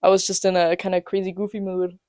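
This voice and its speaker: A man's voice: high-pitched, monotone, fast, darkish tone, no echo, studio quality. A young man in his late teens, co-hosting a casual podcast from a student apartment, joking and teasing with lots of fillers. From England and Denmark. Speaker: podcast co-host. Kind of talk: casual chat.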